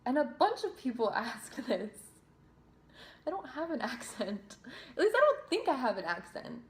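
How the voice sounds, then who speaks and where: A woman's voice: high-pitched, sing-song, normal speed, a little hiss or hum. A young woman from New Jersey, a singer, answering viewer questions in a casual, chatty way with fillers and restarts. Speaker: singer and vlogger. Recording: roomy echo.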